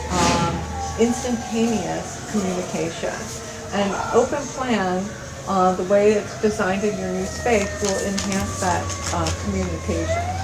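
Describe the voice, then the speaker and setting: A woman who designed an office explains its features in an interview, pausing on fillers. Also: medium pitch, roomy sound, far from the mic. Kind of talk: interview talk. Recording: off-mic, loud traffic noise.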